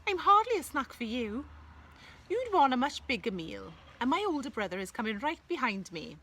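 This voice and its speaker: A woman doing a squeaky, pleading little goat. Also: sing-song, moderate pace, a bit bright, a little hiss or hum. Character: small timid young male billy goat.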